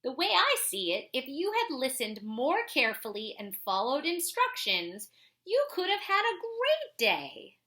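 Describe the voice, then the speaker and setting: Lady reading as a fed up mom telling off her kid, storybook style, bright high voice, really swoops around. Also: huge pitch swings, measured pace, full character voice. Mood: exasperated. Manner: firm, emphatic, lecturing. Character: exasperated mother scolding her young son. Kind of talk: children's picture book read-aloud.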